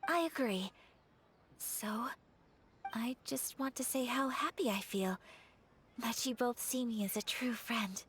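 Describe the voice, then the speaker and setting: A woman playing a shy, earnest young noblewoman in an anime game, speaking formally and gently. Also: fairly high pitch, slow pace, long pauses, slight background noise. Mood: moved, earnest.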